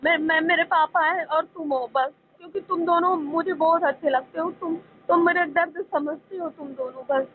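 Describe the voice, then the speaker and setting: High-pitched pleading woman on tinny phone. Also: fairly even intonation, moderate pace, few pauses. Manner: insistent, pleading, repetitive. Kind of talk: emotional private phone argument. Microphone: phone call. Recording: narrow tinny phone line, faint noise.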